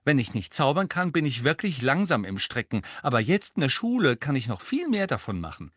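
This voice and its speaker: A man's voice: mid-range voice, sing-song, a little fast, a bit bright, no room sound, studio quality, voice pushed up. A man voicing a strong-willed teenage schoolgirl in an audiobook story, bossy and indignant, speaking in an emphatic, scolding way. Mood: brisk.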